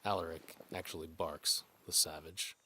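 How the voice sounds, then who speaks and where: A man performs a cynical mercenary telling his own story in a fantasy audio drama. The voice is very low with a sharp, forceful edge, terse and wry in hardboiled fashion, over faint background noise. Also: steady tone, normal speed. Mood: narrating, wry. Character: cynical, hard-bitten male mercenary bowman narrating his case. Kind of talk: scripted first-person noir fantasy narration.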